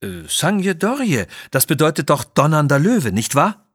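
A learned, polite doctor from an audio adventure, acted by a man. He ponders as he speaks, with a slightly husky voice, recorded dry. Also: fairly high pitch, big pitch swings, a little slow, fairly bright tone, dry recording, pitched above the performer's own voice, exaggerated voice. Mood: thoughtful. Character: learned, thoughtful male doctor and explorer.

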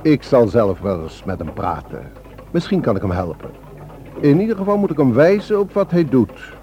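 A man plays a courteous but firm helpline official in a radio play. The voice is mellow and very varied in pitch, on a muffled recording. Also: sing-song, moderate pace, slight background noise, lightly acted. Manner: polite, formal, principled. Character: courteous, firm male helpline volunteer guarding secrets. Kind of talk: radio drama acting.

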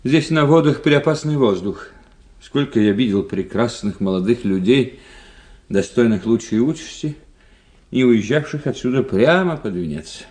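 An actor playing a wry, chatty, worldly bachelor doctor in a radio drama, telling things drolly with deliberate hesitations. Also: male voice, mid-range voice, lively intonation, a little mellow, natural voice. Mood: cautioning. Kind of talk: Russian radio drama acting.

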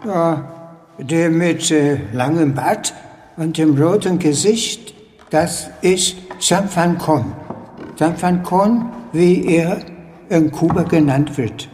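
An actor playing a reverent, wary old Chinese man who keeps a shrine in a radio drama, speaking in halting phrases. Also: fairly high pitch, darkish tone, soft background music. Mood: reverent, hesitant. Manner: halting, hesitant short phrases. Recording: long hall reverb, faint noise.

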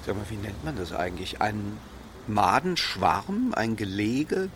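A man's voice: lowish voice, expressive, unhurried, fairly bright tone, a little hiss or hum. A man playing a patient, encouraging middle-aged father with an earnest, coaxing manner in a radio drama. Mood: mildly defensive. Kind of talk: naturalistic radio drama dialogue.

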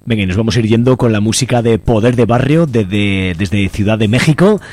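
A man's voice: fairly low pitch, a little fast, a little mellow. A man with an accent from Spain hosting a radio show, chatting informally and encouragingly through interviews and announcements. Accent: Spanish, from Spain. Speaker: radio host. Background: faint music.

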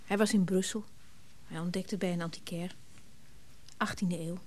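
An actress playing a well-to-do new widow in a radio play, clingy and scornful. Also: female voice, on the low side, steady tone, normal speed, slight background noise, close to an everyday voice. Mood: brisk, chatty. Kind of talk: Dutch radio play acting.

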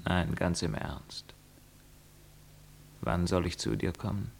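A man's voice: low voice, expressive, takes it slowly, vocal fry, a little hiss or hum, full character voice. A man playing a young lover who answers coolly and sarcastically in verse. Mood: mocking. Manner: sarcastic, dismissive verse speaking. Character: young male lover, cool, mocking.

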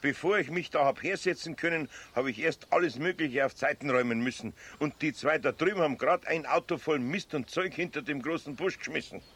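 Husky Bavarian bystander. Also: male voice, on the high side, a little fast, few pauses, fairly bright tone. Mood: annoyed, obliging. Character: annoyed, obliging male picnicker witness. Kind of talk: 1970s German radio play acting.

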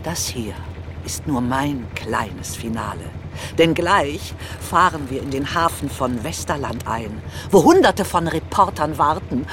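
A woman's voice: sits low, lively intonation, measured pace. Actress as grandiose indignant lady crusader, theatrical declaiming, noisy vehicle-like background, radio drama style. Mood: grandly triumphant. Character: defiant crusading female journalist posing as a baroness billionaire. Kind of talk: dramatic stage-like acting. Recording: clear background noise, vehicle-like ambience.

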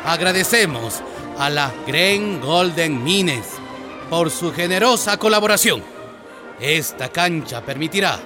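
Pompous little town mayor, radio drama, kinda high voice, halting official talk, music behind. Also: male voice. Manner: formal, hesitant official speech. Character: self-important small-town mayor, compliant official.

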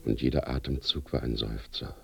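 An actor playing a brooding man who longs for his lost beloved in a lyrical radio monologue, his voice gravelly and yearning. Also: extremely low pitch, steady tone, fairly bright tone, heavily acted. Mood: yearning, dreamy. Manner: slow, yearning. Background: plucked guitar music.

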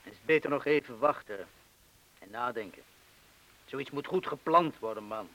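Bright-voiced, steady criminal accomplice. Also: male voice, medium pitch, rough recording. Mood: calm, cautious. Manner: measured, laconic, calming, firm. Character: steady, cautious criminal accomplice defusing a bomb. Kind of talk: radio drama acting. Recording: hissy old radio play recording.

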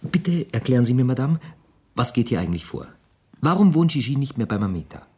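A man's voice: mid-range voice, big pitch swings, brisk, darkish tone, breathy edge. A man in a German radio play, playing a wealthy young bon vivant, urbane, flippant and mock-gallant. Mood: indignant. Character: suave, wealthy young man about town, playfully teasing.

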